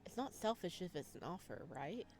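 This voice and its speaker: A woman voicing a bashful, hospitable young man in a visual novel, gentle and a little hesitant. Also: on the low side, very animated, moderate pace, a little hiss or hum.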